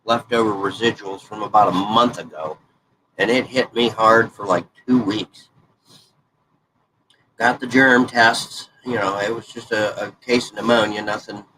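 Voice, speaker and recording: A man's voice: mid-range voice, a bit bright, rough recording. Brief: stuffed-up man in his 40s, folksy rambler, plain mid voice, faint noise behind. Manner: folksy, rambling, self-correcting. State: congested, stuffed-up nose. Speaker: hobby crafting vlogger. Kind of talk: casual rambling chat while crafting.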